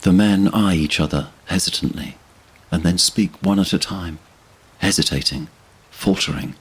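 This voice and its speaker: A man's voice: low-pitched, moderate pace, husky, rough recording. A man giving solemn scripted narration for a fantasy audio drama. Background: orchestral string music.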